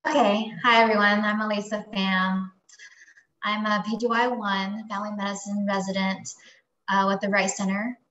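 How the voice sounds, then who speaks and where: A young woman introduces herself in a friendly, plain voice that stays flat. The call audio is muffled and echoey. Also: mid-range voice, normal speed. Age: young adult. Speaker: family medicine resident.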